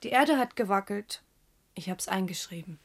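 A woman's voice: mid-range voice, expressive, moderate pace, natural voice. An actress in a radio play playing a timid, flustered female night porter who stammers and hesitates. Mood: subdued. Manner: stammering, hesitant, easily startled. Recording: noisy radio play recording.

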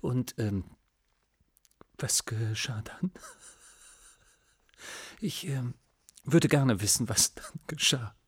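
An actor playing an amused writer in a radio play, breathy and halting as he tries to keep his composure. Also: medium pitch, expressive, fairly bright tone, full character voice. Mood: sheepish, apologetic. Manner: halting, stifling laughter. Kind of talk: German radio play acting.

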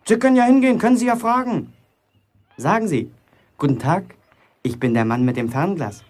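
An actor playing a cheeky, boastful young bricklayer in a German radio play, talking colloquially and defiantly. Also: male voice, high voice, animated, fairly quick, a little mellow. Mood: defiant, mocking. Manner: colloquial, provocative, self-justifying.

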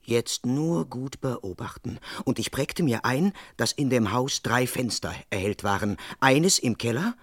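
Young police constable, close and dry. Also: male voice, medium pitch, lively intonation, a little slow, hardly any pauses, fairly bright tone, dry recording. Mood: earnest, observant. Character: bored, ambitious 24-year-old London police constable, eager and deferential. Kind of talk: 1970s German radio play acting, monologue and dialogue.

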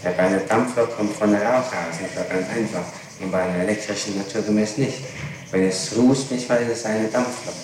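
A man's voice: fairly low pitch, a little fast. Insistent male prosecutor in a cavernous-sounding room. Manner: insistent, formal, methodical questioning. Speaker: public prosecutor. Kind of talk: courtroom interrogation. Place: large echoing room, distant mic. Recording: very echoey, noisy archival tape, far off.

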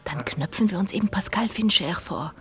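An actress playing a brisk, confident female investigator with a direct, assured manner in a radio drama. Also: medium pitch, animated, fairly bright tone, faint music behind. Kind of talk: German radio drama acting. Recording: clear ambient noise behind voice.

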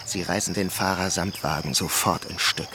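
A man playing a grim city insider in a radio drama, speaking in clipped phrases with deliberate pauses. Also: low voice, background noise, lightly acted. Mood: grave warning. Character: grim male local insider warning of city's brutality.

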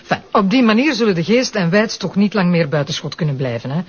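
An actress playing an engaged, quick-thinking Flemish investigator in a crime drama. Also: female voice, fairly low pitch, sing-song, husky. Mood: keen. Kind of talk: broadcast crime drama acting. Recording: dull lo-fi sound.

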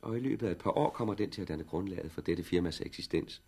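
Low, dark-voiced brisk research boss. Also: male voice, breathy edge. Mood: assured, explaining. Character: brisk, authoritative corporate research director, keenly interested. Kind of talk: 1960s radio drama acting. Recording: 1960s radio drama recording.

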